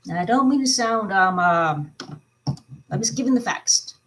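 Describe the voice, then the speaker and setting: Woman, vlog host, informal opinion commentary, halting, emphatic delivery, mid-pitched. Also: expressive, fairly bright tone.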